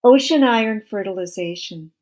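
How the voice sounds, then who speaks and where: A woman reading scripted non-fiction narration for an audiobook in a measured, explanatory way. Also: medium pitch, darkish tone.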